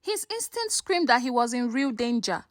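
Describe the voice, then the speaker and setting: A woman's voice: fairly high pitch, big pitch swings, moderate pace. A woman storyteller narrating a scripted story in a dramatic way.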